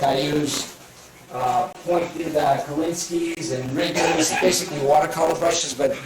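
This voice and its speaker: Older Boston-accented man in an echoey hall. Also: a little high. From Italy. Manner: rambling, with frequent fillers and restarts. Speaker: painter giving a demonstration. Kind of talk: casual live demonstration talk. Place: art association meeting hall. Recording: echoey room, mic at a distance.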